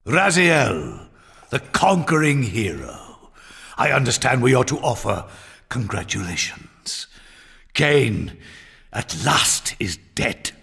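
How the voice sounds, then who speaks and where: An actor playing a grimly devout male vampire hunter in a video game, sneering and pious, with measured formal diction. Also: medium pitch, big pitch swings, creaky, pushed and bright, husky, full character voice. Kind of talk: dramatic video game voice acting. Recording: long echoing reverb.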